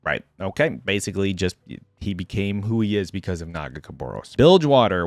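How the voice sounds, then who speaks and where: A man's voice: mid-range voice, dry recording. Casual man in a dry, close recording. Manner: rambling, thinking aloud. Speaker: reaction video host. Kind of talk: casual reaction commentary with some reading aloud.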